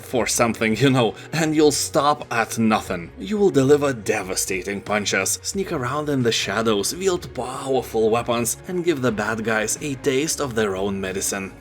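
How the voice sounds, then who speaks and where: Male game-review voice-over host, wry and jokey, over faint background music. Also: mid-range voice. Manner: wry, joking asides. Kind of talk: scripted voice-over game roundup.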